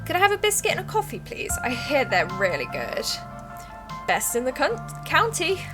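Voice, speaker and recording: A woman's voice: high-pitched, huge pitch swings, normal speed. A woman voicing a polite, curious and slightly tentative newcomer in a visual novel. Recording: music playing behind the voice.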